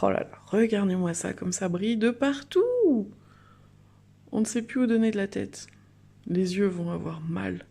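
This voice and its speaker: Mellow-voiced woman hosting an unboxing. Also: mid-range voice, animated, a little slow, gravelly, husky. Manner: chatty, enthusiastic. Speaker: host of a card unboxing video. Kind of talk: casual unboxing commentary.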